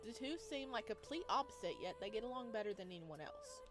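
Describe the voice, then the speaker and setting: Female game streamer, higher-pitched, giggly and reactive, visual novel commentary over soft music. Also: very animated, quick pace. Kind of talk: visual novel playthrough commentary, reading text aloud.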